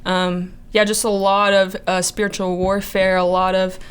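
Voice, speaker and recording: A woman's voice: mid-range voice, level tone, moderate pace, smooth. Earnest young woman sharing her story. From Montana, USA. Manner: hesitant, many fillers, earnest. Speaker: ministry intern. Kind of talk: casual testimony chat.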